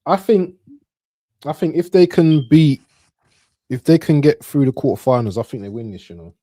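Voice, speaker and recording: Man, mellow voice, casual football banter, shout-outs, dry video call audio. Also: fairly high pitch, moderate pace, no echo. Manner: relaxed, joking, gives shout-outs. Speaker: co-host on the stream. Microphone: video call, camera off.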